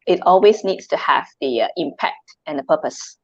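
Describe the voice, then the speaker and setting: A chatty Malaysian woman in her thirties, talking easily as a guest on a video call. Her voice sits a little low and sounds dry and dull, like a lo-fi line. She laughs often and throws out rhetorical questions. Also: lively intonation, normal speed, gravelly, no room sound. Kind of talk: casual chat.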